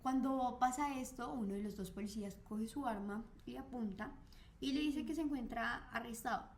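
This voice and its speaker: A woman's voice: fairly high pitch, moderate pace, a little hiss or hum. A woman, recounting a crime case on a chat podcast with frequent fillers. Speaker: co-host.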